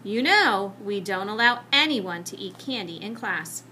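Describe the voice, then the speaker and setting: A woman's voice: medium pitch, expressive, measured pace, sharp tone, a little hiss or hum, rough recording. A woman voicing a stern but concerned female schoolteacher in a children's storybook, firm and admonishing.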